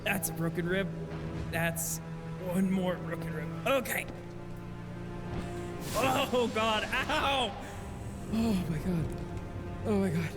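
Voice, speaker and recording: A man's voice: sits high, expressive, takes it slowly, long pauses. A man voicing a battered but cheery adventurer in an improvised comedic game fandub, with music behind the voice. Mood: pained, groaning.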